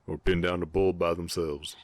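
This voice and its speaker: A man voicing a big, gentle, guarded orc ranch hand with a Southern US accent, speaking politely and haltingly in an intimate Western ASMR roleplay. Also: low-pitched, little pitch movement, close to an everyday voice. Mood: resigned, plainspoken. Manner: halting, polite, soft-hearted drawl with folksy turns.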